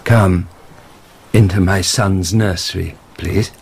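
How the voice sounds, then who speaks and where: An actor playing an unhinged, bereaved nobleman in a radio drama, mock-courteous, halting and bitter. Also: low voice, steady tone, vocal fry, husky, heavily acted. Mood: bitter sarcasm. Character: unhinged, bitterly sardonic bereaved French nobleman, husband and father.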